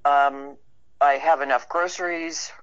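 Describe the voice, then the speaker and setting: A woman's voice: sits low, steady tone, unhurried, dull audio. A woman chatting casually as an interview guest, wry and anecdotal, easing hesitantly into her stories. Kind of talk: casual conversational chat.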